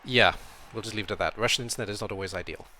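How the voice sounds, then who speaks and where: A young British man from Wales with a slight European tilt to his accent, chatting casually while he streams a game, reading chat and thinking out loud. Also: fairly low pitch, racing, a little hiss or hum. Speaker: streamer playing a video game. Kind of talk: casual live-stream commentary.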